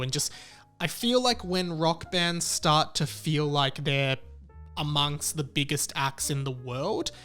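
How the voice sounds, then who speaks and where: A man's voice: on the high side, fairly bright tone. A man giving a casual, opinionated album review as the host, talking conversationally with wry amusement. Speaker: music reviewer and show host. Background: music under the voice.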